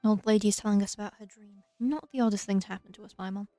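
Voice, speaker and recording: Mellow, wry woman commenting on gameplay. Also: mid-range voice, steady tone, rapid-fire. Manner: dry, wry asides. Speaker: let's play streamer. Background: game music.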